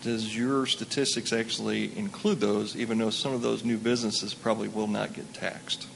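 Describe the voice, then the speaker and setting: Gravelly low guy in the audience, loud earnest question. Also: little pitch movement, normal speed, slight background noise, rough recording. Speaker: audience member asking a question. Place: conference hall.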